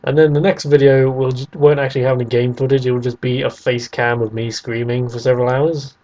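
A man's voice: mid-range voice, level tone, fairly quick, mellow, dull audio. A young man hosting a casual podcast chat about games, teasing and sarcastic. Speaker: podcast host.

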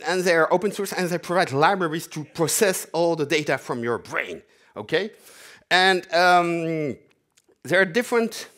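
A man's voice: fairly high pitch, fairly bright tone. A man in his forties with a French accent, giving a chatty, self-mocking live demo talk on a conference stage. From half French, half Dutch. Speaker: conference speaker, developer advocate. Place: large conference hall stage.

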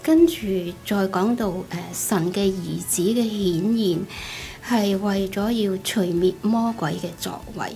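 A middle-aged woman with a Hong Kong Cantonese accent, giving a personal testimony in an expressive, conversational storytelling way. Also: medium pitch, slow pace, gravelly. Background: background music.

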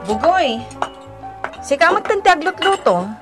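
A woman's voice: very high-pitched, very animated, a little slow, over loud music, exaggerated voice. A woman with a Filipino accent acting in a radio drama as an inquisitive wife who runs the household.

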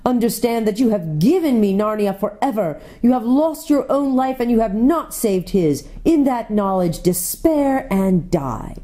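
A woman's voice: fairly high pitch, lively intonation, measured pace, few pauses, warm tone, voice pushed up. A woman voicing a cruel witch queen who taunts her captive in a quivering, passionate voice. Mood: gloating.

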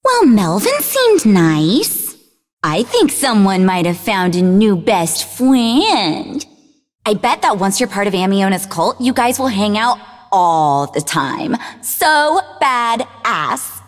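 Snarky, animated young psychic in reverb. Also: female voice, mid-range voice, slow pace. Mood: mocking. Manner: sarcastic, teasing. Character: sarcastic young woman psychic, snarky, sharp-witted, easily exasperated. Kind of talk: video game voice acting.

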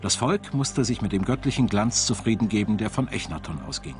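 Expressive male documentary narrator. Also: fairly low pitch, brisk. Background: background music.

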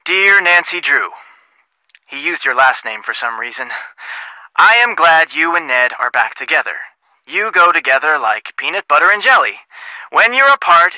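A sensible young American detective on a call in a video game. His voice is fairly high and very bright, with animated, wry delivery. The sound is close and a little dull. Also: dry recording. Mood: wry, amused. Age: young adult. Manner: wry, conversational. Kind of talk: video game phone dialogue.

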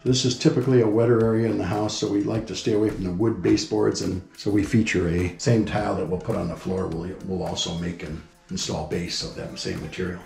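A man's voice: sits low, little pitch movement, fairly quick, creaky, hardly any pauses, a little mellow. A man working as a home builder explains a house on a tour in a matter-of-fact, informative way. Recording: roomy indoor echo with music behind. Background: background music.

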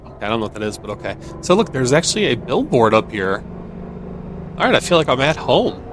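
An American man giving casual let's-play commentary, easygoing and thinking out loud. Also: mid-range voice, sing-song, fast, background noise. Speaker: gaming video host.

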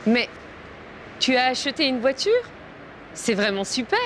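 A woman's voice: high-pitched, lively intonation, measured pace, fairly bright tone. An actress in her twenties playing a cautious, rule-minded young woman in a scripted lesson dialogue. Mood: surprised. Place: outdoors on a city street, by and in a car. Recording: faint street and vehicle noise.

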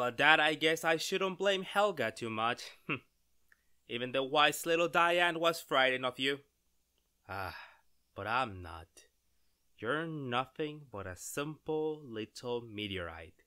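An actor playing an arrogant, excitable male scientist, condescending and theatrical, in an old-style radio drama. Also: medium pitch, full character voice. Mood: smug, musing. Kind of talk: retro 1930s radio drama acting.

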